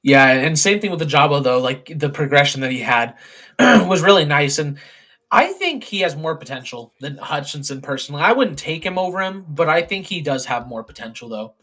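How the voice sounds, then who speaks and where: A hoarse young man hosting a casual sports chat, rambling with lots of fillers and restarts. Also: a little high, animated, normal speed, pushed and bright. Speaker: podcast host doing a mock draft.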